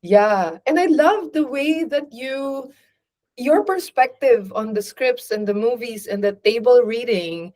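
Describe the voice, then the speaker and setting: A woman hosting a podcast interview, responding warmly and encouragingly with frequent affirmations. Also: a little high, lively intonation, a little slow. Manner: warm, affirming, frequent backchannels. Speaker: podcast host. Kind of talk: casual interview conversation.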